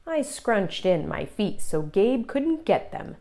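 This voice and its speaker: A woman reading a children's picture book aloud as an expressive, theatrical narrator with dramatic pauses and emphasis. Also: medium pitch. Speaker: children's story reader.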